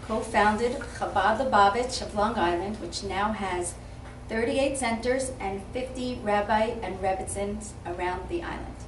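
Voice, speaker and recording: A woman's voice: medium pitch, a little slow, hardly any pauses. A woman emcee reading a formal introduction of a speaker at an event. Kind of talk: read introduction. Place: event hall. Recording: echoey hall from far off, some noise.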